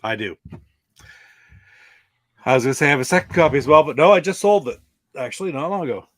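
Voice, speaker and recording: A man's voice: fairly high pitch, expressive, fast talker, fairly bright tone. A man talking casually as a member of a podcast panel. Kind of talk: casual chat.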